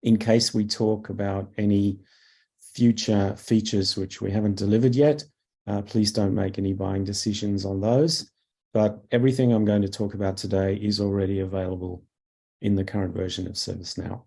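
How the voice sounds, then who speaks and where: A man with a mellow, somewhat low voice leads an online product webinar. He sounds croaky from a cough and keeps clearing his throat. He talks in halting bits full of "uh" and "um", speaking into a desk mic. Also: steady tone. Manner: halting, many fillers, clears throat often. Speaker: product manager presenting a webinar. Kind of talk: webinar presentation.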